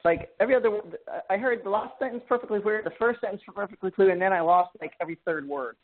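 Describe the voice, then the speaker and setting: A man with a fairly high voice for a man, speaking as a guest hypnotherapist in a remote interview and explaining things in a measured, thoughtful way. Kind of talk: interview over a remote call. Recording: phone-like call line with static.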